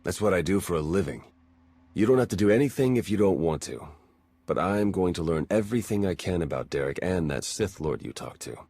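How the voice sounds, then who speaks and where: A man playing a wry, suspicious intelligence agent in a space-opera video game, speaking in a dry, sardonic, conversational way with a husky voice. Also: deep voice, lively intonation, brisk, darkish tone, dry recording, full character voice. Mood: probing, determined. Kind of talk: video game dialogue acting.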